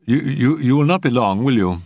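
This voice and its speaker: Guy doing a nervous pleading hostess, raised pitch, hesitant, storybook lady. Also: sing-song, fast talker, no room sound, clean studio sound, lightly acted. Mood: anxious, pleading.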